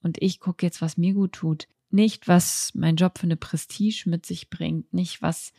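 A woman around thirty with a slightly low, noticeably creaky voice and a mellow tone. She talks as a podcast host thinking out loud, candid and reflective, in an unscripted personal style. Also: fairly even intonation, a little slow, no room sound, studio quality. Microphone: handheld microphone, close.

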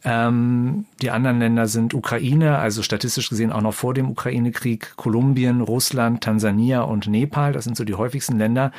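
This is a man hosting an interview in German, with a voice at a middle pitch. He explains background facts in a measured, informative way before he turns to his guest with questions. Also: steady tone, darkish tone. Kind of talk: interview conversation.

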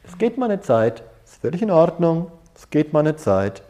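A man's voice: a little high, very animated, normal speed, dark tone. A man leading a seminar in an informal, conversational way, often checking in with short tag questions. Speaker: seminar leader. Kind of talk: informal seminar talk with personal anecdotes.

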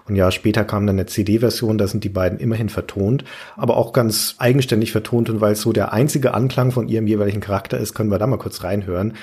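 A man's voice: on the low side, steady tone, fast talker, mellow, breathy edge. A man hosting a podcast, discussing video games analytically and explaining in long, articulate sentences. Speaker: podcast host.

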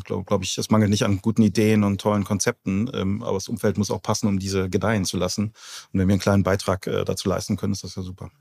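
A man's voice: fairly low pitch, vocal fry, a little mellow. A middle-aged man answering as an interview guest, casual and reflective, feeling his way through phrases. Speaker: interview guest, company founder.

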